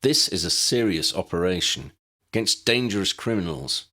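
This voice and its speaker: A man's voice: deep voice, animated, normal speed, sharp tone, heavily acted. A man voicing a guarded, protective male wizard officer in an audiobook reading, speaking in a firm, clipped way. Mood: stern.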